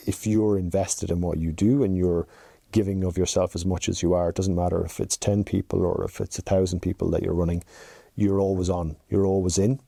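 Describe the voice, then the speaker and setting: Irish guy in his 40s, podcast guest, low voice, pretty flat pitch, chatty and tells little stories. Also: fairly quick, creaky, slightly rough, no echo. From rural Ireland. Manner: chatty, anecdotal. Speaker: podcast guest, chief executive. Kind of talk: conversational interview answers.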